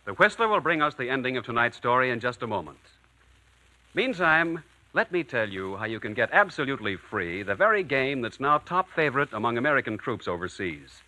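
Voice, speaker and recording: Bright, animated 1940s radio announcer man. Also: medium pitch, a little fast, poor audio. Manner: polished, salesman-like. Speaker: radio announcer and sponsor pitchman. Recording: vintage 1940s radio broadcast.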